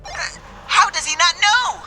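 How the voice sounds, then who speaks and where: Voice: extremely high pitch, animated, moderate pace, noisy background. An actor voicing a practical, wry little cartoon creature in a video game, easily exasperated.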